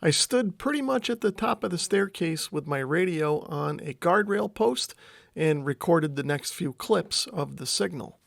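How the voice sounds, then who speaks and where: A man's voice: on the high side, lively intonation, a little slow, vocal fry, slight background noise. A man narrating a casual vlog in an informal, explanatory way, thinking aloud as he goes. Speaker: vlogger, radio hobbyist.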